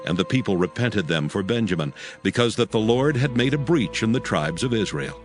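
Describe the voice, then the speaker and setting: A man's voice: on the low side, animated, fairly quick. Solemn male Bible narrator over music. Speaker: narrator of a dramatized audio Bible. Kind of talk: solemn scripted scripture narration, archaic English. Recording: music bed behind the voice. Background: background music.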